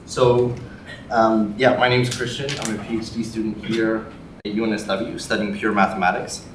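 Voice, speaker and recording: A young man with a Canadian accent gives a public talk in a friendly, unhurried way, sprinkling in ums and yeahs. His voice sits on the low side. He is picked up from a distance in a reverberant lecture hall, with a faint hum behind him. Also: level tone. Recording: echoey hall from far off, faint noise.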